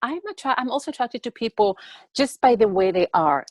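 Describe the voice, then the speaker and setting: A woman's voice: a little high, animated, dry recording. Young woman speaking earnestly, dry sound. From born in Tanzania. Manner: earnest, halting with repeated words. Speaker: interview guest, TikTok educator. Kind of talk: conversational interview answers. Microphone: video call.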